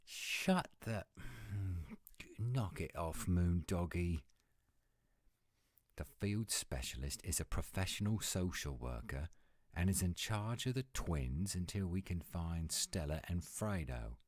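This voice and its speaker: A grumpy British werewolf, acted by a man in an audio roleplay. He speaks in a deep, deliberate voice, curt and scolding, like someone out of patience. The recording is dry and close. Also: lively intonation, no echo, heavily acted. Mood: exasperated. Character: grumpy, exasperated British male werewolf, gruff protector.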